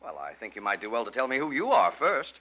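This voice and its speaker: An anxious, conscience-ridden husband in a 1940s radio thriller. His mid-pitched voice swings widely and hesitates nervously. The old broadcast recording is dull and lo-fi. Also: huge pitch swings, fast. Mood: nervous, flustered. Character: anxious, wavering, guilt-ridden husband in a noir drama.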